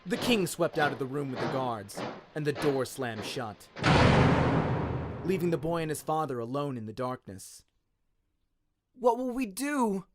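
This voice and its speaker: A man's voice: medium pitch, lively intonation, normal speed, heavy background noise. A man narrating a story in a dramatic, scripted audiobook style.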